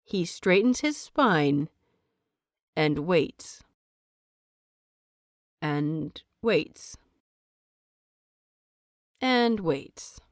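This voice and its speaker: A woman reading a story as scripted dramatic narration, measured and deliberate, with dramatic pauses. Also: low voice, expressive, no room sound, clean studio sound. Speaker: audio fiction narrator.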